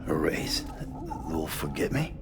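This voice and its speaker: An actor playing a gruff, wary, taciturn courier in a video game, terse and guarded, with a gravelly voice. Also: male voice, very deep voice, very animated, takes it slowly, whispered, over music, heavily acted. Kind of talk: understated video game acting.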